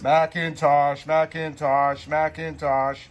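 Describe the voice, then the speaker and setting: A man's voice: on the high side, flat delivery, slow pace, heavy background noise. A man checking in on CB radio, repeating his call sign. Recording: staticky CB radio transmission.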